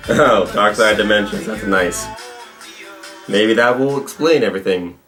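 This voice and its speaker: A man's voice: lowish voice, unhurried, a bit bright, faint music behind. A man hosting a reaction video, giving casual, conversational commentary.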